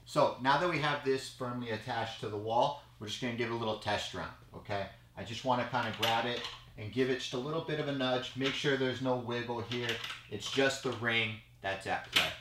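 A man in his thirties, a judo coach giving a casual step-by-step how-to demonstration, checking in with "okay?" as he goes. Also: mid-range voice. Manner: casual, explanatory, frequent "okay?" check-ins. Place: small storage room with mats, indoors. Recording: echoey small room, faint noise.

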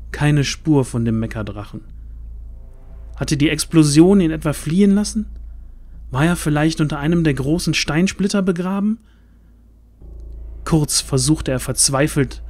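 Male narrator, fantasy radio play, unhurried, suspenseful, dramatic pauses, soft music underneath. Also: mid-range voice.

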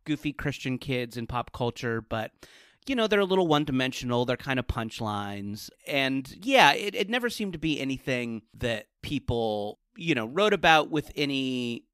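A man's voice: medium pitch, sing-song, moderate pace, a bit bright, smooth, dry recording, studio quality. Middle-aged American man, casual and rambling. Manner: rambling, informal, self-deprecating. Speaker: podcast guest, comedy writer and podcaster. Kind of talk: casual interview chat.

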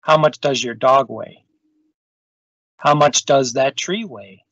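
A man's voice: medium pitch, slow pace, fairly bright tone, no echo. A man reading a children's science book aloud over a video call. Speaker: storytime reader. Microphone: video call microphone. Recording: dull, lo-fi video call audio.

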